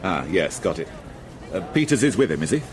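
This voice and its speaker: An actor playing a weary, curt police inspector in a British radio crime drama. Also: male voice, sits low, very animated, fairly quick, crowd noise. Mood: impatient. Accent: British English.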